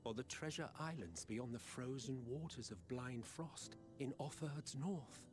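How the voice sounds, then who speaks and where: An actor playing a proud, defeated villain in a video game, grandiloquent and disdainful. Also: male voice, medium pitch, a little slow, close to an everyday voice. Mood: wry, lecturing. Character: proud, theatrical, defeated male villain, imperious and wry. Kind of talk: dramatic video game acting. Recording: orchestral music under the voice.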